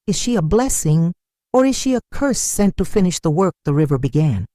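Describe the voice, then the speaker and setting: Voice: mid-range voice, very animated, moderate pace, mellow, no room sound, studio quality. A narrator telling a dramatic folktale in a solemn, scripted storytelling style.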